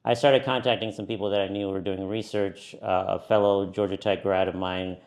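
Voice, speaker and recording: Middle-aged man, low register, relaxed interviewee who explains with fillers. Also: a little fast. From California. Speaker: company founder and CEO. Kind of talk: conversational interview.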